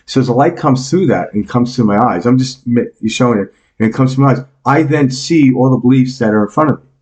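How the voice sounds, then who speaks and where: A man's voice: medium pitch, fast, darkish tone. A man in his fifties with a New York accent, a guest on a podcast, talking casually, joking and explaining ideas with homely examples. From New York, United States. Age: 50s. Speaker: meditation teacher and podcast guest. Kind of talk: casual interview talk.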